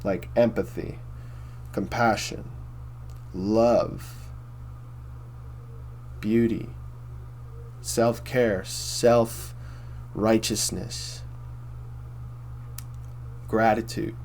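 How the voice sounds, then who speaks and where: A man with a baritone voice hosting a casual philosophy monologue, rambling and repeating himself with many fillers. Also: steady tone, drawn-out words, pauses often, darkish tone, slight background noise. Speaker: host.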